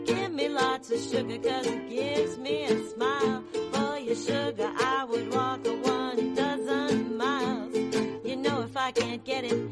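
A woman's voice: sits high, fairly even intonation, slow. A woman singing a playful, flirtatious song. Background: music, plucked string instruments.

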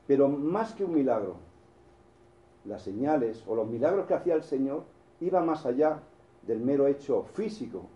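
Very dark-voiced Spanish-accented preacher. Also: male voice, medium pitch, echoey room, dull audio. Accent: Spain Spanish. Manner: storytelling with frequent restarts and asides to the congregation. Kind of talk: sermon.

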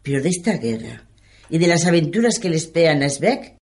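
A woman's voice: low voice, sing-song, a little fast, a little mellow. A woman narrating a radio play in a dramatic, scripted storytelling style.

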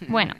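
A woman giving the news in an informal, chatty way as a radio contributor, with hesitant, halting phrasing. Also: lowish voice, a bit bright, husky, dry recording.

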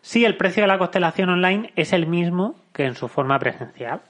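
A man hosts a casual podcast chat with a slightly raised pitch. His voice is very bright. He is playful and steers each segment along. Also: lively intonation, brisk. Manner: playful, leads segments, keeps asking ¿vale?. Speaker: podcast host.

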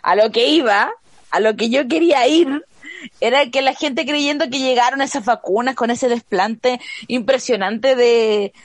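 A woman's voice: fairly high pitch, a little slow, dry recording. A young Chilean woman co-hosting a podcast, chatting casually and ranting expressively in heavy slang with a Chilean accent. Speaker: podcast co-host. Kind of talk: casual chat.

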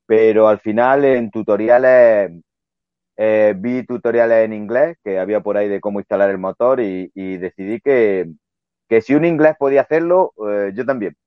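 Low-voiced man, lo-fi call. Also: moderate pace, clear, resonant tone, no room sound. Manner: relaxed, rambling explanations. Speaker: guest, sailing youtuber. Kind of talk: casual video interview. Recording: dull lo-fi sound.

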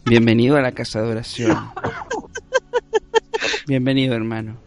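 A man's voice: mid-range voice, little pitch movement, moderate pace, darkish tone, voice pushed down, natural voice. A man with a Chilean accent voicing a welcoming, unctuous evangelical pastor in an improvised comic radio play. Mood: pious welcome.

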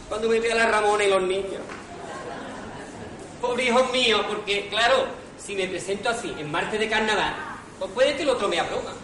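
A man's voice: high voice, recorded from a distance, close to an everyday voice. Guy playing a jittery poor nobody in a stage farce, stammers, wry and fed up, roomy noisy recording. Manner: stammering, exasperated comic asides. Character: hapless poor impostor man, jittery and wryly resigned. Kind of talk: amateur comic radio theatre.

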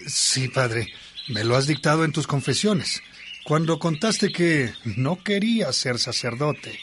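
An actor playing a devout, respectful disciple in a radio drama, with birdsong clearly heard behind him. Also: male voice, a little high, expressive, takes it slowly, noisy background. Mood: earnest, devout. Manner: respectful, earnest. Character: Faustino, devout, eager disciple content with communal life.

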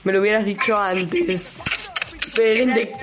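A woman's voice: mid-range voice, measured pace. A young woman with an Argentine accent chatting casually as a radio co-host, informal, blunt and rambling. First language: Spanish. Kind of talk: casual chat, series recommendations.

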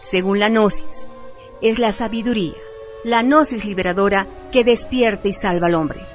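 A woman narrates a scripted radio piece in a mid-range voice. She reads solemnly and deliberately, as in a documentary. Faint music runs underneath. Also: medium pitch.

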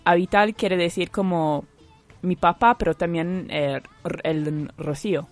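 Foreign-accented woman, halting speech. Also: on the low side, soft background music. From United States. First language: Hebrew. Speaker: interview guest. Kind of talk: conversational interview.

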